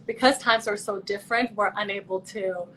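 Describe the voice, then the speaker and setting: A woman's voice: medium pitch, fairly even intonation, normal speed, a bit bright, a little hiss or hum, rough recording. A young woman from Canada, a pageant contestant, chatting earnestly and thoughtfully over a video call. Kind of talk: casual video call chat.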